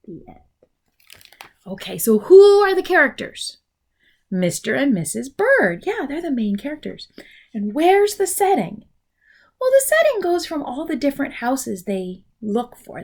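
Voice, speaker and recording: Slow, animated woman reading to children. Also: a little high, vocal fry. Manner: expressive, explanatory, addressing young children. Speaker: teacher. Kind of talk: children's storybook read-aloud.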